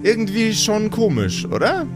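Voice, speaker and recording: A man's voice: high-pitched, wildly swooping pitch, normal speed, music in the background. A man as game master, improvising the story of a tabletop role-playing podcast in a playful, teasing way.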